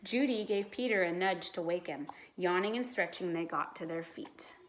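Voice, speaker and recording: A woman's voice: on the low side, normal speed, slight background noise, rough recording. A woman reading a children's storybook aloud like a teacher, expressively and with dramatic emphasis.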